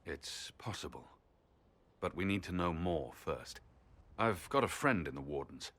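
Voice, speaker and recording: An actor playing a weary, resolute champion in a video game, speaking gravely and with measure. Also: male voice, deep voice, lively intonation, unhurried, fairly bright tone, full character voice. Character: weary, resolute male champion burdened by duty. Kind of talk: dramatic video game cutscene acting.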